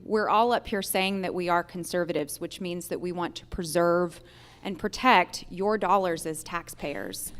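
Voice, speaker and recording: A woman giving earnest, deliberate answers as a candidate for judge at a public forum. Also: lowish voice.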